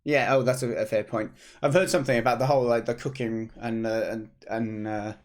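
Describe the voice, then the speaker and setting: A British man in his thirties, a game developer hosting a casual devlog stream, slightly hoarse, chatty and rambling with restarts, at a desk mic in a home office. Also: medium pitch, level tone, fairly quick.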